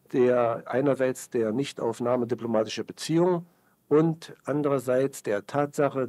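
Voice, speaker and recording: An actor playing a politician making a formal, halting statement. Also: male voice, medium pitch, mellow, no room sound, lightly acted. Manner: formal, halting official speech.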